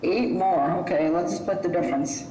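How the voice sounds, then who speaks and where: Woman, board chair, brisk, roomy echo, faint hiss. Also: lowish voice, dark tone. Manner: brisk, businesslike. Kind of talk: chairing a public hearing. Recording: echoey call audio.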